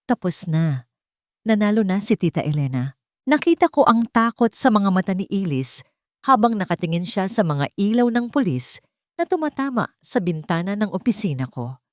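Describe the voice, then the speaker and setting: A woman's voice: medium pitch, sing-song, moderate pace, no room sound, studio quality. A woman with a Filipino accent narrating a scripted romance story in a dramatic storytelling style.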